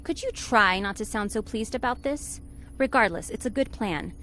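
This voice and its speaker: A sensible female space officer, played by an actress, with a fairly high voice and dry, exasperated delivery. She sounds like the level head in an old sci-fi radio serial, with light music underneath. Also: expressive, moderate pace, fairly bright tone. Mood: practical, urgent. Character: sensible, sardonic female spaceship crew member. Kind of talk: 1950s-style space radio serial acting.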